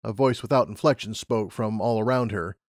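A man's voice: lowish voice, brisk, no room sound, clean studio sound. A man narrating a scripted story as an audiobook narrator.

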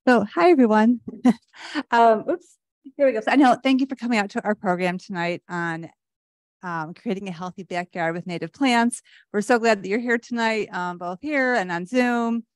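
A woman's voice: medium pitch, normal speed, no echo, clean studio sound. A woman hosting a library evening program, chatty with many fillers. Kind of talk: introducing a library talk.

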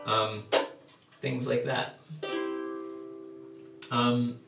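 Young man with a Canadian accent, slow rambling talk, heard from far off in an echoey bathroom. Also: medium pitch, little pitch movement, gravelly, over loud music. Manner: chatty, rambling, self-deprecating asides. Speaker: folk singer. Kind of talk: casual talk to camera, then singing a ballad with ukulele. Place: small tiled bathroom, sitting on the floor. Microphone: far camera. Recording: echoey tiled room, distant mic. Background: ukulele playing.

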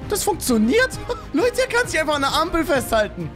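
A man giving excited, exclamatory let's play commentary, talking to viewers and his game character, close on a studio microphone in a small home room. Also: extremely high pitch, brisk, over music. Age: 20s. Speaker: gaming YouTuber. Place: small home streaming room with acoustic foam, indoors. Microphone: studio microphone on boom arm, close.

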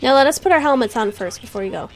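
A young woman in her twenties chatting casually while playing a video game, excitable and quick to exclaim. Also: high voice, soft background music. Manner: excitable, exclaims and giggles often. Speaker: gaming co-host. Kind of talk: casual gameplay chat.

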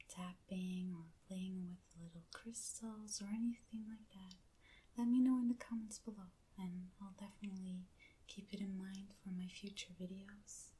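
A woman who is not a native English speaker, softly half-whispering an ASMR unboxing in a gentle, hushed, breathy voice. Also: medium pitch. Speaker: ASMR video creator. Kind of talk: soft-spoken ASMR unboxing.

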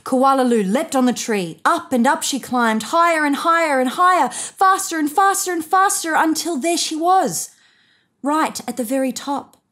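A woman in her thirties reading a picture book aloud to children in an expressive, measured way. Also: high voice. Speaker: storybook reader. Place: indoors, seated on a sofa.

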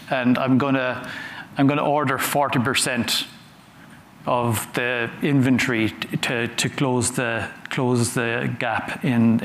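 A man giving a conference talk from a stage, conversational and halting, often repeating words as he goes. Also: medium pitch, fairly bright tone. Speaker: conference speaker. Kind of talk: conference talk with audience questions. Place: large conference hall on a stage. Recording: roomy hall sound with faint noise.

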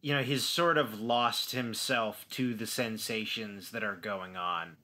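A man describing his character in a tabletop role-playing game, feeling his way haltingly and repeating words. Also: fairly low pitch, sharp tone. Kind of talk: improvised description of a character in actual play.

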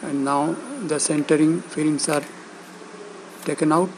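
A man gives step-by-step instructional narration in a slightly high voice. He speaks very slowly, in short phrases broken by pauses. A steady hum and buzz sits behind his words. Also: poor audio. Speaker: instructor demonstrating loudspeaker assembly.

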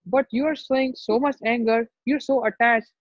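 A man plays a bold young prince with an Indian accent from a sacred tale. The voice is lifted high and husky, with cheeky, needling questions. The sound is echoey and roomy. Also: steady tone, moderate pace, dull audio.

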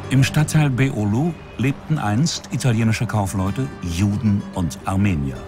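A man's voice: fairly low pitch, a little slow, over music. A man with a standard German accent narrating a television documentary in a scripted style.

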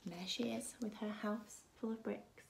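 A woman's voice: medium pitch, steady tone, normal speed, creaky, slight background noise, low-quality recording. A woman in her thirties, a primary school teacher, reading expressively to young children. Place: small furnished room at home, indoors.

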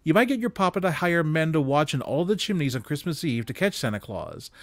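A man reading classic prose aloud expressively, with measured, dramatic emphasis, close to a desk microphone. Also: fairly high pitch, very animated, no echo. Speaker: reader of holiday stories aloud. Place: small indoor room with bookshelves. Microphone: desk condenser microphone, close. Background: music.

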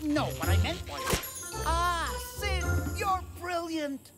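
A woman's voice: very high-pitched, very animated, slow pace, exaggerated voice. A woman voicing a brainy, bossy, theatrical bug schemer in a children's cartoon, speaking with precise command. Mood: scheming. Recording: loud background music.

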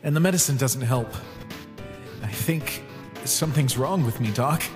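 A man voicing a weary, lonely young skeleton boy in a comic dub, gentle, hushed and hesitant. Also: mid-range voice, moderate pace, lightly acted. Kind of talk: animated comic dub acting. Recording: long reverb, music behind.

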